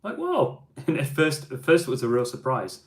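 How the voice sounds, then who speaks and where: A man's voice: mid-range voice, wildly swooping pitch, moderate pace. A man from New Zealand hosting a music livestream, chatting casually and answering viewer questions with frequent ums and restarts.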